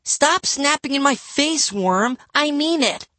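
A man's voice: extremely high pitch, expressive, slow, bright, forceful tone, no room sound, pitched above the performer's own voice, full character voice. A man voicing an annoyed, shrieking girl in a children's audiobook, snappish and indignant. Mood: shrill annoyance.

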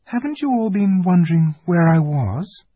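Male reader playing an excitable young girl, high-pitched and insistent. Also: normal speed, husky, no echo, highs cut off. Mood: excited. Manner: eager, breathless insistence. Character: earnest excitable little girl.

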